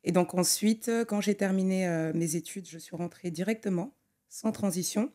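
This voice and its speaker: A young adult woman who grew up in Togo, an architect talking through her career as a podcast guest, thoughtful and full of pauses and restarts. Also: fairly low pitch, mellow. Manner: thoughtful, many fillers and restarts. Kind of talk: conversational interview.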